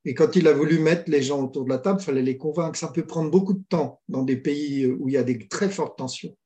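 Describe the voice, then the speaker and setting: A mediator speaks in a relaxed, mellow voice, circling back and restarting his sentences as he explains. He tells stories at a conversational pace, with frequent pauses to find his words. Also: on the high side. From France. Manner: hesitant, many restarts and fillers, storytelling. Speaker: mediator guest. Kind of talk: conversational interview.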